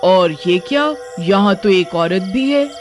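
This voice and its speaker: A woman's voice: medium pitch, expressive, takes it slowly, faint music behind. A woman playing a gloating, hungry female witch who taunts and commands in a cartoon horror story. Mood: greedy, commanding.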